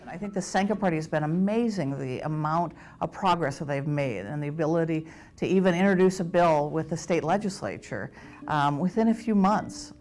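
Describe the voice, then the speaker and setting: A woman's voice: low voice, a little fast, a little mellow, faint music behind. A woman speaking as a hospital staff member in a documentary interview, explaining articulately.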